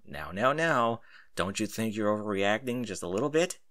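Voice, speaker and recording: A man's voice: fairly low pitch, expressive, a bit bright, no room sound. Man as an easygoing, teasing military captain. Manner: teasing, unhurried reassurance to a youngster.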